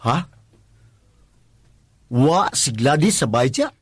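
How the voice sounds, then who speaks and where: Nervous, stammering Cebuano husky-voiced man. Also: mid-range voice, very animated, takes it slowly, no echo, heavily acted. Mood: uneasy, puzzled. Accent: Cebuano Filipino. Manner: stammering, hesitant. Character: anxious working-class condo worker, husband worried about wife's debts. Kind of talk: Filipino radio drama acting.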